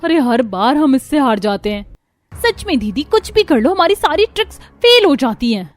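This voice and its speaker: A woman's voice: sits high, big pitch swings, moderate pace. A woman voicing a scheming, put-upon young daughter-in-law in a cartoon story, complaining dramatically. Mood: exasperated.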